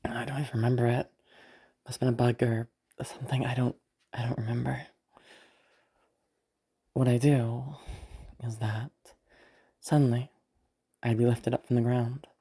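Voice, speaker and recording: A woman playing a cold, wry captive in an intimate audio roleplay, musing in a deadpan, hesitant way. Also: a bass voice, measured pace, long pauses, breathy edge, heavily acted. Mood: musing, detached.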